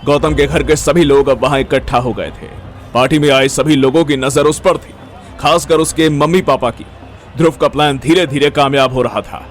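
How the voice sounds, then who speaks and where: A man's voice: mid-range voice, fairly even intonation, moderate pace. A man narrating a scripted audio story.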